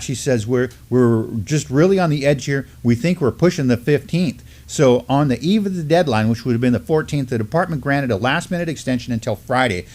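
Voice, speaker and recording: A middle-aged man co-hosts a talk-style news show from a studio desk. He reads articles aloud haltingly, stopping for frequent fillers and restarts, in a plain mid-pitched voice. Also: a little mellow. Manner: halting, many fillers while reading. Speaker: co-host. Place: studio with mottled backdrop.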